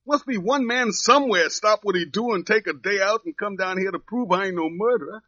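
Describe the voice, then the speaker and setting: An actor playing a wrongly jailed young drifter in a radio drama, with plain folksy, uneducated speech, pleading and resigned. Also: male voice, high-pitched, a little fast, sharp tone, no room sound. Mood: earnest. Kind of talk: 1950s radio drama acting. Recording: dull lo-fi old radio recording.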